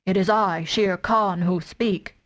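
A woman voicing a furious, boastful male tiger who speaks slowly and threateningly in a storybook reading. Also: medium pitch, fairly even intonation, close to an everyday voice. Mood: scornful.

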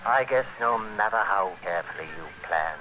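A man's voice: fairly low pitch, expressive, brisk, sharp tone, muffled recording. An actor playing a cold, domineering male criminal who schemes and gives orders in a 1940s radio thriller. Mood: grim, calculating. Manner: hard, domineering, clipped and menacing. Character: cold, scheming male criminal narrating his murderous plot. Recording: noisy vintage 1940s radio recording.

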